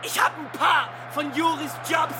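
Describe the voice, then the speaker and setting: An actress playing an angry, foul-mouthed migrant in a loud, sharp, exasperated dramatic monologue. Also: female voice, high voice, a little slow, heavy background noise.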